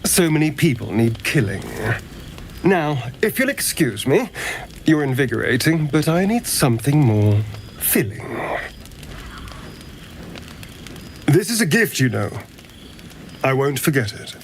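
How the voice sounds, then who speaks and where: An actor playing a suave male vampire in a video game, breathy and theatrical, with hesitant, pleading delivery. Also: fairly high pitch, very animated, measured pace, slight background noise. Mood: satisfied, silky.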